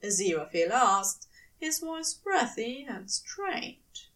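A woman's voice: a little high, sing-song, slow, fairly bright tone, recorded from a distance. A woman reading fiction aloud as a scripted, dramatic narration.

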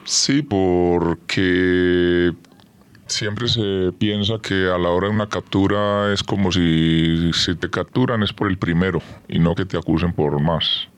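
A man with a Colombian Spanish accent and an extremely low, deep voice. He answers plainly and tersely, with little flourish. His tone stays flat and matter-of-fact. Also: slow pace, clean tone. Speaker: interviewee. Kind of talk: interview answers.

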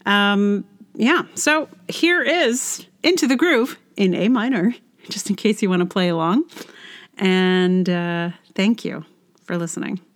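Slow-paced middle-aged woman podcaster. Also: medium pitch. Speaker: podcast host and musician. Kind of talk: casual solo podcast monologue.

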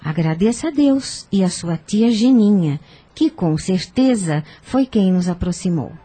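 A woman's voice: mid-range voice, lively intonation, measured pace, dark tone, husky, faint music behind. An actress with a Brazilian accent playing a kindly, devout older woman in a radio soap, earnest and instructive. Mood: solemn counsel. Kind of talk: radio soap opera acting.

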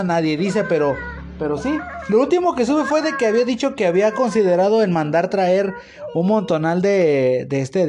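A man's voice: sits high, darkish tone. Rambling, slangy Mexican man. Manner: rambling, slangy, many restarts. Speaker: podcast host. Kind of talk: casual podcast chat. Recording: noisy home podcast recording.

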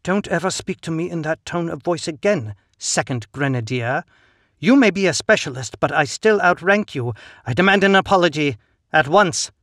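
A stern female sergeant, played by a man who gives clipped, commanding answers. The voice is slightly raised and husky, with a gravelly edge. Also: fairly high pitch, lively intonation, unhurried, fairly bright tone, no room sound, clean studio sound, pitched above the performer's own voice, heavily acted. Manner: curt, authoritative.

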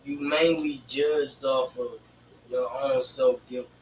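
A man's voice: medium pitch, fairly even intonation, takes it slowly. A young man co-hosting a casual chat, speaking in an informal, slangy way. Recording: roomy, distant mic, faint noise.

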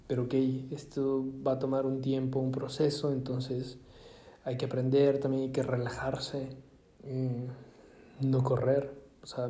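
A man from Ecuador musing aloud in a casual monologue, halting often with fillers and restarts. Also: mid-range voice, dark tone. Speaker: vlogger. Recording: echoey room.

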